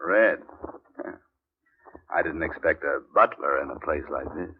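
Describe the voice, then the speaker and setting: An actor playing a wry, unflappable hard-boiled private investigator with a dry manner in an old radio drama. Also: male voice, a bass voice, a little slow, slightly rough, exaggerated voice. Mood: cool, defiant. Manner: dry, sardonic. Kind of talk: 1940s-50s radio detective acting and narration. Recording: muffled old radio recording with music.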